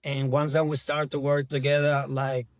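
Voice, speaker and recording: Venezuelan man, earnest halting English. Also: mid-range voice, monotone. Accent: Venezuelan Spanish. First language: Spanish. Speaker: actor guest. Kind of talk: casual interview.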